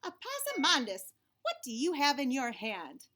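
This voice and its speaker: A woman voicing an exasperated storybook mama who scolds and instructs her silly child with drawn-out emphasis. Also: sits high, huge pitch swings, noisy background. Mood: suspicious questioning.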